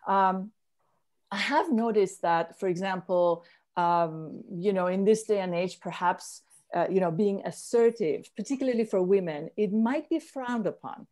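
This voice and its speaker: A woman's voice: medium pitch, a little slow, darkish tone. A woman panellist from Spain speaking on a video call from home, thinking aloud with many fillers and restarts. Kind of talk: online panel discussion. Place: home room.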